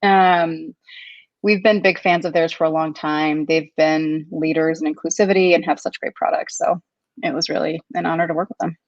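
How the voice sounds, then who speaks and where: A woman's voice: low-pitched, steady tone, normal speed, no echo. A woman from Kuwait, a company founder, chatting in an interview in a thoughtful, upbeat way with many ums and you-knows. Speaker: company founder and CEO, guest. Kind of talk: casual interview conversation. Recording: dull, dry lo-fi sound.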